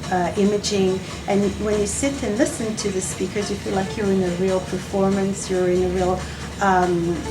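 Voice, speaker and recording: Halting woman interviewee over background music. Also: medium pitch, level tone. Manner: halting, searching for words. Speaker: company representative, interview guest. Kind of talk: unscripted promotional interview answers. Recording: slightly distant mic, music behind.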